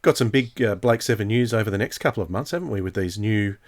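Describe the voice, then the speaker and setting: A man's voice: on the low side, fast talker. A man hosting a podcast, putting questions and remarks to a guest in casual chat. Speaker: podcast host, interviewer.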